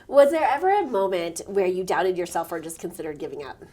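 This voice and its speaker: A woman's voice: fairly low pitch, sing-song, a little fast, warm tone. A woman hosting a talk show, reading a scripted intro and then interviewing her guest in an upbeat, encouraging way.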